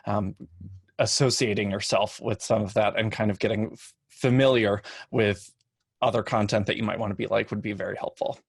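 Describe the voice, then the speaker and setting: A man giving an informal instructional panel talk in a measured, explanatory way, speaking into a microphone in front of him. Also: fairly low pitch, fairly even intonation, vocal fry, husky, no echo, studio quality. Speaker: podcast production expert on a panel. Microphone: standard microphone in front of his face.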